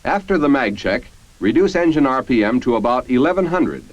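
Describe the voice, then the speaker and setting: An American man reading scripted 1950s military training-film narration in a formal, measured, instructional manner. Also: medium pitch, big pitch swings, no echo. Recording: dull, band-limited 1950s film soundtrack.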